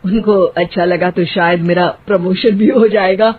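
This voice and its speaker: A woman's voice: fairly low pitch, steady tone, a little slow, pitched below the performer's own voice, natural voice. A woman voicing an earnest, put-upon young man, an office worker eager to please, in a comic cartoon story. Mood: eager, deferential. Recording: muffled, phone-like narrow sound.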